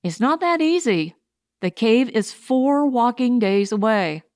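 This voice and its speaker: A woman narrator plays a self-possessed female prospector on Mars in an audiobook. She is shrewd and wry, speaking clearly at an unhurried pace. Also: a little high, lively intonation, a little mellow, dry recording, studio quality. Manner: composed, wry. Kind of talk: audiobook character voice.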